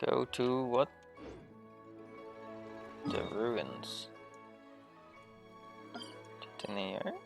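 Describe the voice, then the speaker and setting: A man's voice: medium pitch, wildly swooping pitch, very slow, creaky, pauses often. A man live-streaming a game, giving blunt, informal commentary and muttering to himself and his chat. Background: faint game music.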